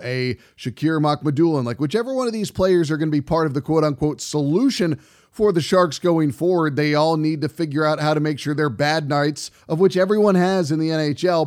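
Casting: man with a higher-pitched voice, pregame sports host, wordy and fluent on air. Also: a little mellow. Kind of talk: pregame show hosting and commentary.

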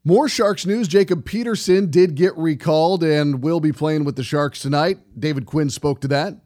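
Male sports radio host, slightly high pitch, conversational commentary, emphatic repeated phrasing. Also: moderate pace, a little mellow.

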